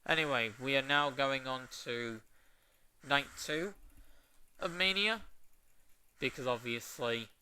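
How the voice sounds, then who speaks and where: A man giving a casual review, unhurried and trailing off into fillers as he weighs his opinions. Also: medium pitch, bright, forceful tone, clear, resonant tone. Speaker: wrestling show reviewer.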